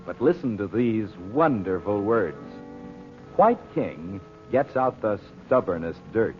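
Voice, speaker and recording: A man announces in polished old-time radio style, his pitch swooping theatrically as he sells. The recording is tinny and narrow, with music behind him. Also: big pitch swings, slow pace.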